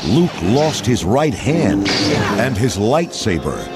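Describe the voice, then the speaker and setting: A man narrating a children's read-along adventure in a measured, theatrical, scripted storytelling style. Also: on the low side, very animated. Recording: loud music and effects underneath.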